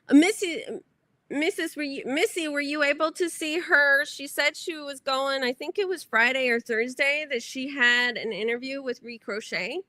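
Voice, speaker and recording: Woman crochet host, casual stream chatter, self-correcting; higher, bright voice. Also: dry recording. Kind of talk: casual livestream chat.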